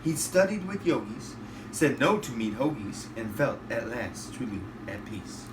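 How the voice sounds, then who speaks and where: A man, a father, reading a rhyming bedtime storybook aloud in an animated way. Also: mid-range voice, unhurried. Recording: roomy, distant, noisy home recording.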